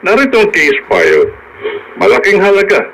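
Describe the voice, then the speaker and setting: An actor playing a measured, businesslike attorney in a radio drama, deliberate and questioning, with a Filipino accent. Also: male voice, high voice, huge pitch swings, creaky, a bit bright, full character voice. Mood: serious, probing. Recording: noisy radio drama broadcast.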